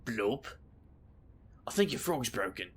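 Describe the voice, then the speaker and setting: A man playing a boastful, scheming supernatural investigator in an audio drama, wry, glib and theatrical, with a gravelly, husky voice. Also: medium pitch, very animated, takes it slowly, heavily acted. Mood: sarcastic. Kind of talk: audio drama narration and dialogue.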